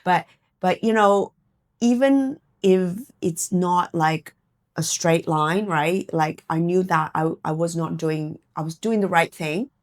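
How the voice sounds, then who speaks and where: Lower-pitched middle-aged woman in conversation. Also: takes it slowly. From Canada, born in Hong Kong. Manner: reflective, circling back and checking with "right?" and "you know". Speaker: podcast guest. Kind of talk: casual personal interview chat.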